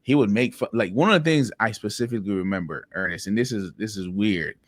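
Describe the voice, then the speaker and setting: A man's voice: on the low side, quick pace, dry recording, clean studio sound. A man from Haiti, a podcast guest, tells personal stories in an earnest way, repeating words as he starts his sentences. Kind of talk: reflective personal storytelling.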